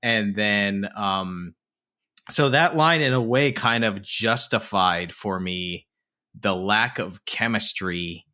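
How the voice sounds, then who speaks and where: Bright-voiced man talking films. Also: lowish voice, takes it slowly. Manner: thoughtful, hesitant restarts. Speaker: podcast co-host. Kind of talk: casual film discussion.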